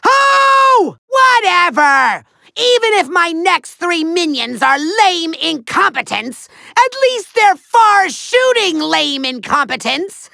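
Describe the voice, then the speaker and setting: A small, tantrum-prone video game villain with a very high, gravelly voice. He is pompous and bombastic, stretching out big words slowly for theatrical effect, like an evil overlord addressing minions. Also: sing-song, pushed and bright, no echo, heavily acted. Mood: exasperated. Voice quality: shrill, gravelly.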